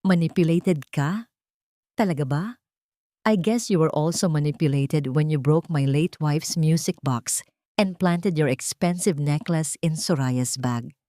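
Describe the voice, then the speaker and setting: A woman's voice: deep voice, a little slow, warm tone, no room sound, studio quality. A woman voicing a cold, furious, betrayed male tycoon and father in an audio drama, scornful and accusing.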